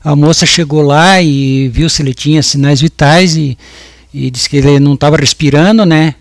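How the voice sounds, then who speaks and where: A man's voice: a little high, fairly even intonation, moderate pace, no room sound, clean studio sound. A man in his fifties giving testimony as a witness at a jury trial, hesitant and full of restarts and repeated words. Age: 50s. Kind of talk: courtroom testimony.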